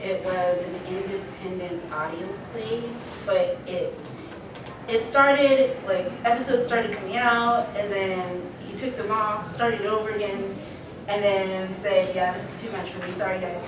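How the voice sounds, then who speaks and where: A woman's voice: mid-range voice, measured pace. Woman panelist, distant and very echoey. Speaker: panelist, occasional voice actor. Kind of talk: casual panel talk. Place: convention panel room, recorded from afar. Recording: echoey noisy room, distant microphone.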